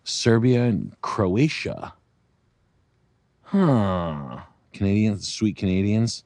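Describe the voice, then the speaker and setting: Lowish-voiced joking man. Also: very animated, drawn-out words, a little mellow, no echo. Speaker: podcast co-host. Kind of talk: casual comedy chat.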